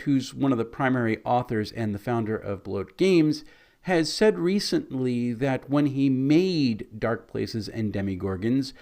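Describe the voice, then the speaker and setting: Male reviewer, hoarse and scratchy, easygoing and conversational, mid pitch. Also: darkish tone. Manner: conversational, mildly critical. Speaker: game reviewer. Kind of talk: casual product review.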